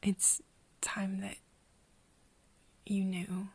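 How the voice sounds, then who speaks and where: A woman in an ASMR roleplay playing a nervous, caring friend, speaking softly and haltingly and often slipping into breathy whispers. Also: mid-range voice, completely flat delivery, full character voice. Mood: anxious, confessional. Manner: hesitant, halting, with pauses and sighs. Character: nervous, caring young woman confiding in her vampire friend. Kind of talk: ASMR roleplay, intimate scripted acting. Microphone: close microphone, dry room.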